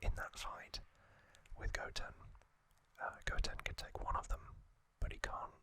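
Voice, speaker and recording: Slow, whispering ASMR man. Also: sharp tone. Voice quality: breathy, unvoiced whisper. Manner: slow, halting, explanatory. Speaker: ASMR host. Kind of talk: whispered ASMR trivia recap, unscripted.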